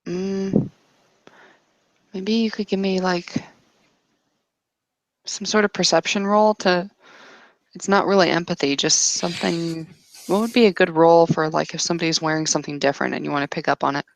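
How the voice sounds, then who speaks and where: A woman playing a tabletop roleplaying game, casually narrating and thinking aloud in a halting way. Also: fairly low pitch, fairly even intonation, vocal fry, no room sound, poor audio.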